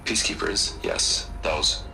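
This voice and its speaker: A man's voice: measured pace, sharp tone, a little hiss or hum. A man playing an evasive middle-aged corporate tycoon in a game cutscene, hesitant, defensive and pleading. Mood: uneasy.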